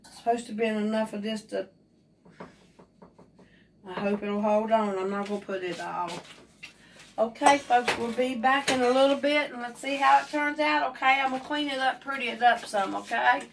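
A woman's voice: on the high side, moderate pace, gravelly, a little hiss or hum. An older Appalachian woman hosting a home cooking show, chatting and teasing in a folksy way while she cooks in her kitchen. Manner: folksy, teasing, laughs often. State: sore mouth, recovering voice. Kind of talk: casual cooking chat. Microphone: camera at a distance. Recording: distant camera mic in a kitchen.

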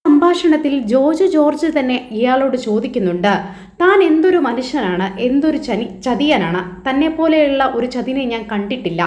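A woman commentator speaks in a fairly high voice. She talks informally to her viewers, explaining and commenting on a news story, and the room around her is noticeably echoey. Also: lively intonation, normal speed, darkish tone. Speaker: YouTube commentator and host. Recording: echoey, roomy voice-over.

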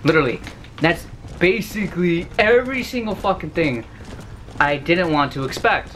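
Twenties gamer in his bedroom, bright and wildly swinging, cussing and yelling at the screen, hoarse. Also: a little high, lively intonation, normal speed, a little hiss or hum. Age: 20s. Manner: loud, profane, yelling at the game. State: losing his voice. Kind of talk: let's play commentary. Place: bedroom, indoors.

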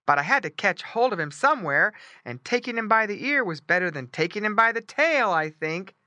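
A woman's voice: mid-range voice, animated, a little fast, pushed and bright, dry recording, pitched above the performer's own voice. A woman voicing a stern but courteous farm dog who guards a garden, in a storybook reading. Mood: reassuring. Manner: firm, courteous.

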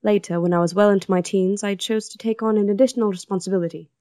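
A woman's voice: medium pitch, fairly even intonation, fast talker, mellow, no room sound, clean studio sound, lightly acted. A woman playing an earnest, thoughtful young princess in an audio drama, polite and articulate. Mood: reflective. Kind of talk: audio drama acting and first-person narration.

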